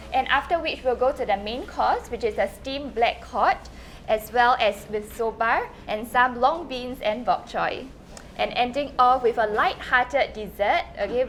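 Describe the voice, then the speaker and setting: A woman's voice: fairly high pitch, slight background noise. Upbeat woman emceeing. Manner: bright, filler-heavy, frequent "okay" and "so". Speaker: dietitian hosting the event. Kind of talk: event emcee introduction.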